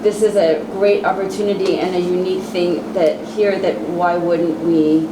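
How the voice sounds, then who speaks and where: A woman from the United States speaks candidly as a parent in a group discussion. Her voice is mid-pitched and sounds distant in an echoey room, with noise behind her. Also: little pitch movement, moderate pace. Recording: echoey room, far from mic.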